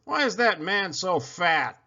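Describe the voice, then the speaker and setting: A man's voice: high voice, sing-song, slow, sharp tone, highs cut off, pitched above the performer's own voice, exaggerated voice. A man voicing a blunt, talkative female pet dog who rambles on in a children's storybook. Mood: blunt, chatty. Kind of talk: children's storybook read-aloud.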